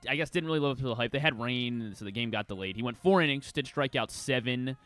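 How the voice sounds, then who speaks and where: A man giving a casual sports news recap as co-host, halting and often correcting himself. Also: medium pitch, a bit bright, faint music behind. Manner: hesitant, halting, self-correcting. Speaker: sports show co-host reading the week's news.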